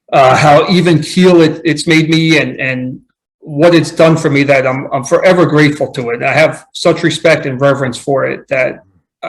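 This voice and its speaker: A man's voice: on the high side, poor audio. A man guesting on a podcast, talking earnestly and reflectively in casual conversation, often restarting his sentences. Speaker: podcast guest, running coach.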